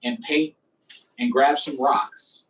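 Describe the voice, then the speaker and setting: A man's voice: mid-range voice, fairly even intonation, slow pace, recorded from a distance, rough recording. A man hosting a storytime, reading aloud and chatting simply and encouragingly with young children. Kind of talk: read-aloud and casual chat to young children.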